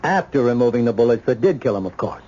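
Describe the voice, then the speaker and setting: An actor with an American accent playing an earnest, self-blaming police lieutenant, plain-spoken and sincere, in a radio drama. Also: male voice, medium pitch, animated, fast talker, warm tone, slight background noise, lightly acted. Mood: explaining, rueful. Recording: dull lo-fi old radio recording.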